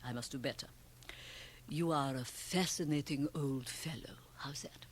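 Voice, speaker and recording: A woman's voice: a bass voice, a little slow, slight background noise. A woman playing a grotesque, sly European magic-show performer in a radio drama, teasing and provocative in a theatrical manner. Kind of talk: 1980 radio drama acting. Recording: 1980 radio drama, home cassette transfer.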